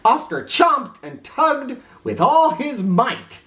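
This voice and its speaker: A woman reading a children's story aloud in a theatrical, expressive way, with an informal touch. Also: high voice, takes it slowly. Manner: theatrical, with informal asides to listeners.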